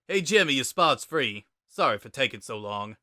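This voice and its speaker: Story reading: easygoing skeleton big brother performed by a man, offhand, dry and deadpan, bright dry sound. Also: medium pitch, normal speed, dry recording. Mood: easygoing, wry. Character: laid-back, wry skeleton older brother. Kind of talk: dramatic reading of a fan story.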